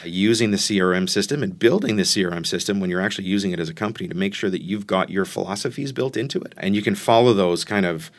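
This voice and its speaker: Male interview guest, middle-aged, fairly low pitch, relaxed-format answers told as anecdotes. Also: quick pace, few pauses. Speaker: interview guest, sales executive.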